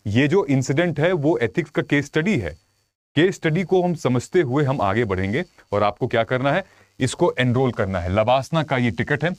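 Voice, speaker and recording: A man's voice: medium pitch, no room sound. A man giving an informal explainer to viewers as a coach and host, telling it conversationally with frequent check-in tags. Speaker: UPSC exam coach and video host.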